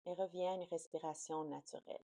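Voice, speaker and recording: Mellow, low-voiced woman guiding relaxation. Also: steady tone, dry recording. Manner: soothing, slow, unhurried instruction. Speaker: yoga nidra guide. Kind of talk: guided relaxation meditation.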